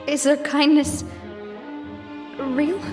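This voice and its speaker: An actress playing an earnest, wounded young woman leader in a video game, speaking in measured, hesitant phrases. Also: high voice, fairly even intonation, over music. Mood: wounded, doubting. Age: young adult. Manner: hesitant, measured, dramatic pauses. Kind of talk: video game drama acting.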